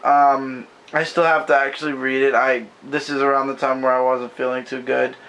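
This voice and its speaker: A man losing his voice and sounding hoarse, chatting loosely about comics from behind the camera in a bedroom. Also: mid-range voice, flat delivery, normal speed, fairly bright tone, low-quality recording. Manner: rambling, loose and self-correcting. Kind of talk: casual comic book review chat. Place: bedroom at home. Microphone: camera mic, speaking from behind the camera.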